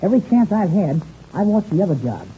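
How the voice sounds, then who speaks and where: A man's voice: high voice, big pitch swings, brisk, dark tone, slight background noise. An actor playing an eager, earnest young boy pilot in a 1930s radio adventure serial, on a muffled old recording. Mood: earnest, wary. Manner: boyish, earnest, slangy exclamations.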